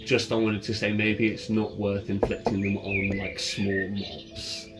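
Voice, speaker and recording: A man's voice: lowish voice, flat delivery, measured pace. A man acting as game master, talking casually through rules in a considered way with ambient music playing behind him. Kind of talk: casual tabletop game table talk, explaining rules. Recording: loud background music.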